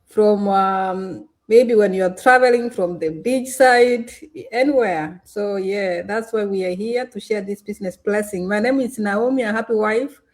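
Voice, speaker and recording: A woman's voice: medium pitch, a little slow, hardly any pauses. A woman from Kenya introducing herself in an upbeat, welcoming and promotional way as a guest on an online chat. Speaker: guest, digital business owner.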